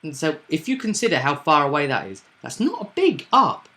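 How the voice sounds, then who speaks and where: A man with a bright, forward voice talks through a tabletop roleplaying game as its game master. He explains things in a casual, conversational way and keeps looking for agreement with little tag questions. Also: on the high side, huge pitch swings, brisk.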